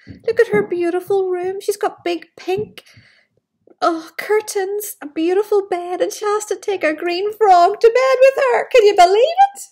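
A woman storyteller with a high, lively voice speaks to small children. She takes her time and breaks off into wondering exclamations about the pictures. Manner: exclamatory, wondering, addressing young listeners. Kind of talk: animated picture-book storytelling with asides. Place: bright living room with large glass doors.

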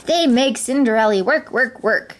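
A woman's voice: on the high side, animated, moderate pace, pitched above the performer's own voice, lightly acted. A woman voicing eager, kindly, chirpy little mice in a storybook. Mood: concerned.

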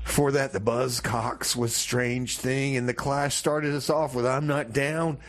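A man's voice: mid-range voice, little pitch movement, measured pace. A man hosting a community radio show in a casual, rambling way, with exasperated asides to listeners. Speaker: radio show host Rebar.

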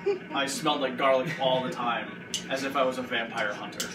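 A man's voice: medium pitch, fairly even intonation, a little fast, loud music behind the voice. Joking man hosting a kitchen cooking show. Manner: joking, rambling, with restarts. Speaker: host. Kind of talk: casual cooking show banter. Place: home kitchen, indoors. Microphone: far camera. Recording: roomy, distant, noisy kitchen audio.

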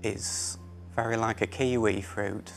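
A man in his thirties answers quiz questions in a studio, thinking aloud and hesitating before he commits. His voice has a gravelly edge. Faint music runs under it. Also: lowish voice, fairly even intonation, unhurried. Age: 30s. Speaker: quiz contestant.

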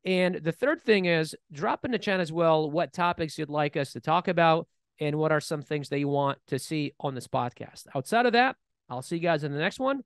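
Gravelly-voiced man in his thirties. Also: a little high, normal speed, no room sound, studio quality. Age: 30s. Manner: animated, hand-gesturing, many restarts. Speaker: podcast co-host. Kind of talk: casual podcast chat. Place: bright studio room, seated in armchair. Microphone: boom-mounted studio mic.

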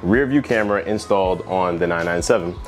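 Low-voiced young American man vlogging. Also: a little fast. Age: young adult. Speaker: car vlogger. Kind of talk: casual talk to camera. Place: inside a parked car, later a garage. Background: background music.

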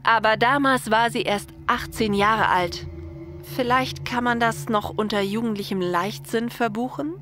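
A woman's voice: on the high side, a little slow. A woman narrator reading a scripted story. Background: faint music.

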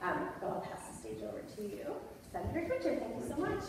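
A woman's voice: fairly high pitch, very animated, a little fast. Woman introducing a guest in a noisy hall. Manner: casual with fillers. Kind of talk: formal introduction. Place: large school auditorium stage. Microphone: far camera in the audience. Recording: distant echoey hall, loud noise.

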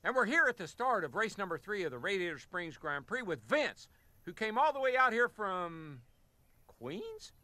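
A man's voice: high voice, sing-song, moderate pace, background noise. A man playing an upbeat, showy race announcer car in a video game, with an American accent and polished delivery. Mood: inquisitive. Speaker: race commentator. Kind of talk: sports broadcast commentary in a game.

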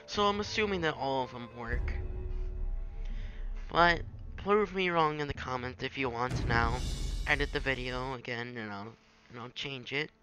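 A man giving a casual, improvised software tutorial in a halting, self-deprecating way on a home microphone. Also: mid-range voice, over music. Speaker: tutorial maker. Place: at a home computer, screen recording.

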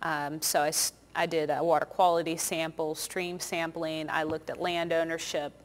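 A woman's voice: low voice, little pitch movement, moderate pace. A young American woman from northeast Indiana, a chatty interview guest explaining things casually. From northeast Indiana, United States. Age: young adult. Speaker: interview guest, park naturalist. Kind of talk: casual informative interview talk. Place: small TV studio. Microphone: lapel mic.

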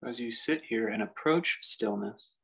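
A man leading a guided meditation as a teacher, speaking reflectively and leaving long pauses. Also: medium pitch, vocal fry, a bit bright, breathy edge, rough recording.

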